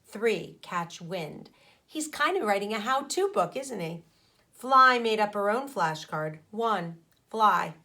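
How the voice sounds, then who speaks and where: A woman's voice: medium pitch, big pitch swings, bright, forceful tone. A woman schoolteacher reading a children's book aloud, stepping in to explain and ask questions in a didactic way. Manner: didactic, pauses to question the young listeners. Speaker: elementary school teacher.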